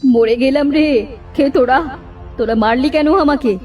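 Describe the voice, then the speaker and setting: A woman's voice: high-pitched, moderate pace, a little mellow, distant mic, soft background music, natural voice. A woman playing a wicked, vengeful witch in a cartoon, wailing and snarling theatrically. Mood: pained, angry. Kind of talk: cartoon voice acting.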